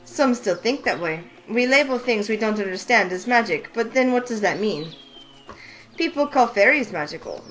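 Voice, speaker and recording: A woman's voice: on the high side, rough recording. A woman voicing a wise, teasing older witch who runs a shop, in a game read-through over faint music. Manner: teasing, knowing and calm. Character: wise sly older female witch shopkeeper. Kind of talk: voice acting a visual novel.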